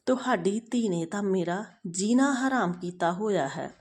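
A woman storyteller voices an indignant young mother in a family story. She is protective and fed up with her in-laws, and she scolds with pointed rhetorical questions at a medium pitch. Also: a little slow, a little mellow, natural voice. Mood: bitter, fed up.

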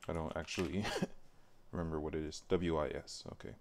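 A man's voice: extremely low pitch, level tone, moderate pace. A man live streaming, casually talking to himself in short remarks as he works at a computer. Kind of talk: casual muttering to self while video editing. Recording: clear background noise, typing and clicking. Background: keyboard typing and mouse clicks.

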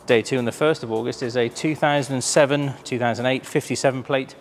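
A man's voice: medium pitch, steady tone, a little fast, slight background noise. A man, chatty and enthusiastic, presenting a car auction preview in a large warehouse showroom. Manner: enthusiastic, chatty, filled with ums.

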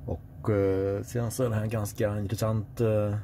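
Low-voiced Swedish man vlogging a road trip. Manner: relaxed, hesitant with many fillers. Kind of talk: casual travel vlog narration. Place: electric car on the road and at charging stops. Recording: clear car and road noise.

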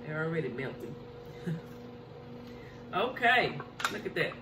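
A woman's voice: deep voice, sing-song, measured pace. Folksy woman eating on camera. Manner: chatty, folksy, hums over food. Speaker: mukbang cooking show host. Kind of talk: casual chat while eating. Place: home kitchen, indoors. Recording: echoey room with faint hiss.

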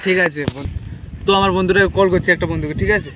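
Casual male vlogger, high voice, informal and repetitive, insects chirping behind. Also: fairly even intonation, fast, heavy background noise. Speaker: prank-call vlogger, host. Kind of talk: casual chat to viewers and prank phone calls.

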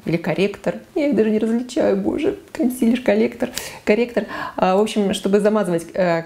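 A woman's voice: mid-range voice, mellow. A woman in her forties talking frankly to camera about her makeup in a small living room, on a lapel mic, with many fillers and self-corrections. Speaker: beauty vlogger. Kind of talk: casual makeup talk to camera.

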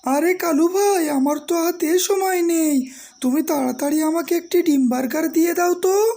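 A woman puts on a small, urgent cartoon bird. The voice is very high and squeaky, pushed well up in pitch. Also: normal speed, dark tone. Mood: hurried.

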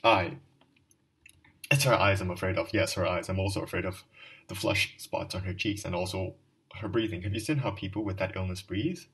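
A man's voice: sits low, moderate pace, fairly bright tone, far from the mic, pitched below the performer's own voice. A man reading the part of a drunken, ragged middle-aged man, who speaks in a fervent, rambling confession. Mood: anxious. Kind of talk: audiobook dialogue reading.

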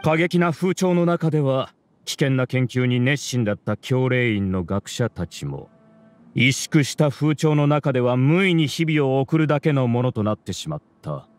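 A man's voice: mid-range voice, expressive, normal speed, no room sound, faint music behind, natural voice. A man voicing an arrogant scholar villain in a video game, grandiose and lecturing in a theatrical way. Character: arrogant male scholar villain, mastermind of a hive mind. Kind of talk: Japanese video game voice acting.

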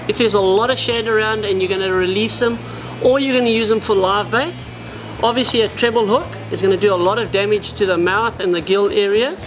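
A man's voice: sits high, low-quality recording. A South African man in his forties explaining fishing gear at a tackle shop counter, in a practical, explanatory way. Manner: practical, explanatory, addresses viewers as guys. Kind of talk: product demonstration. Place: indoor tackle shop, seated at a wooden counter.

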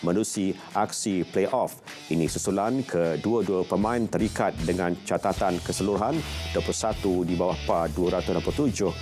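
A Malaysian man presents sports news from a script in a fairly low voice. Music plays clearly behind him. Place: television studio.

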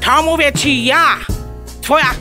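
High-voiced, bossy old mother hen. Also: slow, sharp tone, soft background music, heavily acted. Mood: stern scolding. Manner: stuttering clucks inside words, stern scolding. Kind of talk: children's radio play acting.

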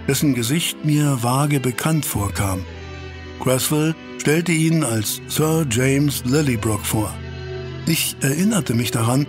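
Male actor as courteous Victorian gentleman chronicler for an audio drama, formal and literary, mid-pitched, over prominent music. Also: close to an everyday voice. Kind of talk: radio play narration and dialogue.

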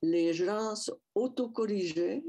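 Slow, gravelly older woman, Métis French accent. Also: mid-range voice, dark tone. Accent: Métis French from western Canada. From Saskatchewan, Canada. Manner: slow and reflective, with restarts and repetition. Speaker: guest speaker. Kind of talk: personal talk at a public event. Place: small room at home, indoors. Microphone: headset mic on a video call.

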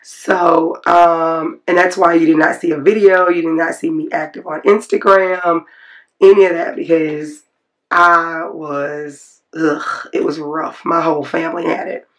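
A woman with a raspy voice from the flu, chatting casually as she walks through her planner and self-corrects as she goes. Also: sits low, level tone, a little slow. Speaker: planner vlogger. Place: indoors at a desk, natural light.

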